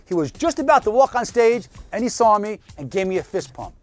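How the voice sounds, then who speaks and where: A male television host with a high voice and very lively intonation. He presents and interviews in an entertainment-show style, with faint music behind him. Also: fairly quick.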